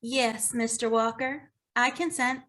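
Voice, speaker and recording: Animated comedy client: earnest, bereaved daughter with a fairly high voice, read by a woman. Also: slow, slight background noise.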